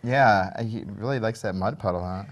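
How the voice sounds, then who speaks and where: A man's voice: lowish voice, normal speed. A man with a Wisconsin accent hosting a TV show, chatting with his guest on a studio set, joking and teasing with frequent laughs. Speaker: TV show host. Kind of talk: casual studio chat.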